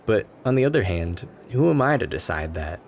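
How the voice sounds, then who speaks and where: Man acting a shy, low-voiced nervous stranger. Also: big pitch swings, brisk, vocal fry, exaggerated voice. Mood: rambling, pensive. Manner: nervous, rambling, gentle, self-conscious. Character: shy, anxious, adorkable young stranger in a coffee shop. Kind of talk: ASMR roleplay, soft conversational monologue. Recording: background ambience noise. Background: coffee shop ambience.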